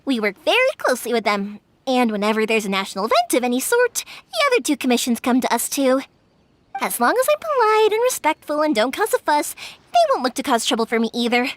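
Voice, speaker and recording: Game role: a bright, friendly young fireworks maker. High, animated voice, chatty storyteller. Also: female voice. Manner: lively, chatty and warm-hearted storyteller. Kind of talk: video game voice acting.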